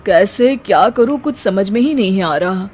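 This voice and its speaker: A woman's voice: mid-range voice, expressive, normal speed, a little mellow, soft background music, close to an everyday voice. A woman voicing a worried, earnest young husband in a moral story. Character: Shivani's menfolk: worried young husband and stern, disapproving father. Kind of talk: acted dialogue in a Hindi moral story.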